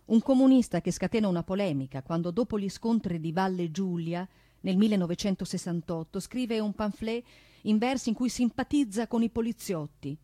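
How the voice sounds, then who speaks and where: A woman performing a theatrical monologue in a declamatory, deliberate way, with emphatic delivery and dramatic pauses. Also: mid-range voice. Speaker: stage narrator and performer. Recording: piano music accompaniment. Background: live piano.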